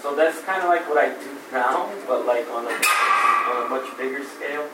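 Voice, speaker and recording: A man's voice: mid-range voice, normal speed. A man in his thirties from Brazil, giving an informal career talk to students in a casual, hesitant way. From Brazil, grew up in Fall River, USA. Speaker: guest speaker, programming graduate. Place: indoor room with an audience. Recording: echoey room, distant mic, background noise.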